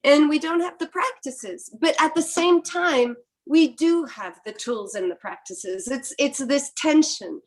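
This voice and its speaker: A woman's voice: high voice, expressive, a little slow, few pauses. A middle-aged woman from Quebec whose first language is French, speaking thoughtfully with many restarts in an academic panel discussion. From Quebec, Canada. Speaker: philosopher panelist.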